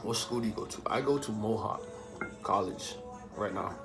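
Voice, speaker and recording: A man's voice: fairly low pitch, moderate pace, vocal fry. Laid-back young man over music. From Bahamas. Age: young adult. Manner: laid-back, joking, streetwise slang. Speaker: vlogger answering viewer questions. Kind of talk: casual slangy Q&A chat.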